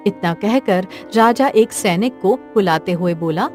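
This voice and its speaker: A woman's voice: medium pitch, lively intonation, unhurried, darkish tone, soft background music. Woman narrating a storybook tale. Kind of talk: scripted cartoon story narration.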